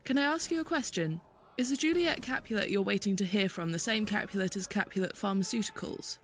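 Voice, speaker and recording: A woman's voice: medium pitch, brisk, lightly acted. A woman playing a cynical prison officer in a dystopian audio drama, wry and matter-of-fact. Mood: wry, mocking.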